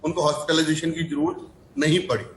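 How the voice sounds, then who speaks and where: A man speaking as a doctor guest, explaining slowly and deliberately. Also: fairly high pitch, little pitch movement. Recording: echoey room.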